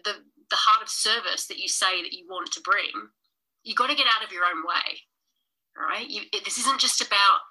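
A woman in her thirties hosts a live stream in a very bright, forward voice. She talks casually and with energy, calling her viewers "guys," and she sounds a little nasal and snuffly from a cold. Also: mid-range voice, poor audio. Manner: enthusiastic, chatty, addresses viewers as guys. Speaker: podcast host and business coach.

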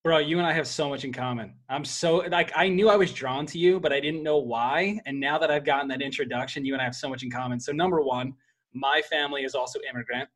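A man's voice: medium pitch, fast, fairly bright tone. A man hosting a podcast interview, casual and enthusiastic, calling his guest "man" and "bro". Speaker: podcast host.

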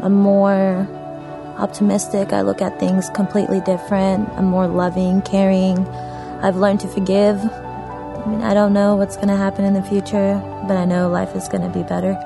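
A woman from Afghanistan giving a reflective personal testimony about coming to faith, with a soft, hesitant way of speaking. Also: medium pitch, little pitch movement, normal speed, gravelly. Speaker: church member giving testimony. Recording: music playing behind the voice.

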